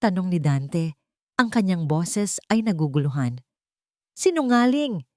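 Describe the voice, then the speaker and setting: A woman's voice: low voice, expressive, measured pace, dry recording, clean studio sound. A woman storyteller reading a scripted love drama in a dramatic narration style.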